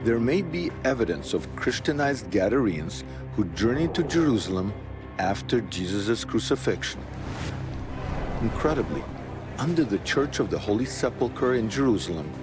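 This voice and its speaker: Earnest male documentary narrator over music. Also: fairly low pitch.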